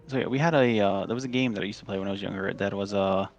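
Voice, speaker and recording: Gravelly low-voiced man from Chile. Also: very fast, dull audio, soft background music. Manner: rambling storyteller, many fillers and restarts. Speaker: podcast guest. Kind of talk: casual interview chat.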